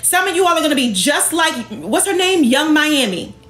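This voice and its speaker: A woman's voice: high voice, very animated, moderate pace, a bit bright, echoey room, soft background music. A woman speaking emphatically and colloquially to her online followers, sassy and confrontational, firing off rhetorical questions in an impassioned livestream talk. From Jamaican heritage. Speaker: online host and women's empowerment speaker.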